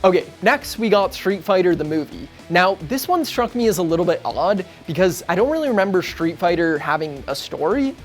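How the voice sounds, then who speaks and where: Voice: medium pitch, lively intonation, fairly quick, few pauses. A narrator reading a scripted video essay in a casual, conversational way. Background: background music.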